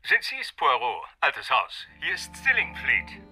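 An actor playing a breezy, flippant doctor in a German radio drama, chummy and informal in manner. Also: male voice, medium pitch, huge pitch swings, measured pace, sharp tone, breathy edge, faint music behind, exaggerated voice. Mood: jovial.

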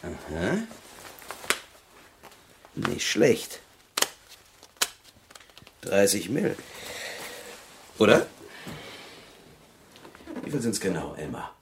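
A brutish crook from a crime radio play, with a low voice that swings widely. He taunts and sneers, gloating and menacing. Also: very animated, extremely slow, creaky, long pauses, background noise, exaggerated voice. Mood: gloating, mocking. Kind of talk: German crime radio drama acting.

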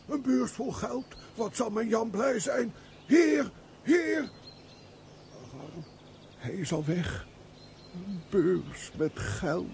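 Slow, creaky old peasant man. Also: sits high, darkish tone, heavily acted. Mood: astonished joy. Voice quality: creaky, aged voice. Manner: slow, emotive, full of sighs and exclamations. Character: pious, grateful, frail old peasant father of about seventy. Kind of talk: theatrical Dutch radio play acting. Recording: faint background noise, old radio play.

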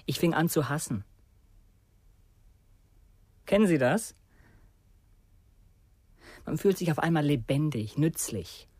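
A troubled young man in a radio crime play. His voice is fairly high and recorded close and dry. He is distrustful and confesses haltingly. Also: lively intonation, darkish tone, husky, no echo, exaggerated voice. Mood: halting, confessional. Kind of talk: German radio crime drama acting.

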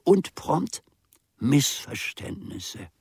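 An actor in a radio play voicing a grumbling, self-important old manservant who muses to himself theatrically in old-fashioned words, gravelly and husky. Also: male voice, on the high side, sing-song, extremely slow, a little mellow, no room sound, studio quality, heavily acted. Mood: grumbling, scornful. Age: older.

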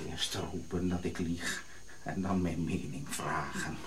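Low-voiced, deferential but frank manservant. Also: male voice, steady tone, measured pace, background noise. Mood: dry, cheeky. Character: put-upon Javanese manservant of an old African prince. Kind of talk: radio drama acting.

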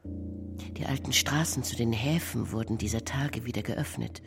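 Contemplative radio-play narrator: very low, gravelly voice, solemn poetic recitation over music. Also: female voice, normal speed.

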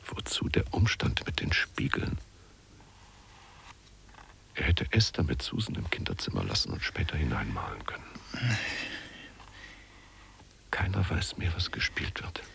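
A bitter, brooding husband with a very deep, whispery voice. He muses inwardly in a hushed radio play monologue, his intonation moving widely. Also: huge pitch swings, a little slow, vocal fry, long pauses, heavily acted. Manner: musing inner monologue, hushed.

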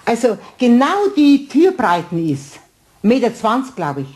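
An actress playing a chatty, down-to-earth shopkeeper in a radio play, with a Bavarian accent. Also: female voice, a little high, very animated, measured pace, darkish tone. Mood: businesslike.

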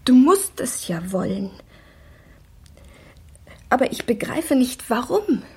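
An actress playing an anxious young woman in a radio drama, speaking in a hushed, halting inner monologue that is often whispered. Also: high voice, sing-song, slightly rough, full character voice. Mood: troubled, intimate. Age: young adult.

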